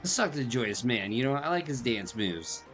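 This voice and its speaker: A man's voice: mid-range voice, sing-song, normal speed, fairly bright tone. A man giving casual game commentary as a streamer, chatty, slangy and laid-back. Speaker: gaming streamer. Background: faint game music.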